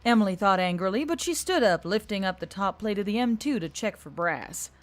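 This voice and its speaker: A woman's voice: medium pitch, expressive, brisk. A woman narrator reading a dramatic action story from a script. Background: faint background music.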